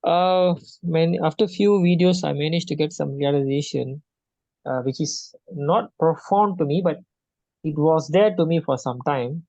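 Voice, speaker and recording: A hesitant man from Malaysia speaks over a video call with dull, lo-fi sound and a dry, close feel. His voice is gravelly and sits in a middle range. He stops and restarts often, searching for words. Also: fairly high pitch, moderate pace, warm tone, dry recording. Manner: hesitant, many restarts and fillers. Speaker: session participant. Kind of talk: halting personal sharing.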